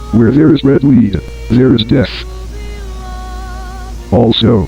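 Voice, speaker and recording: Deadpan man as a lo-fi instructional tape announcer. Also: mid-range voice, lightly acted. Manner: formal, measured, deadpan. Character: grave male announcer of an emergency survival tape. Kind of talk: scripted instructional tape narration, analog horror. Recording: dull lo-fi old tape, music behind.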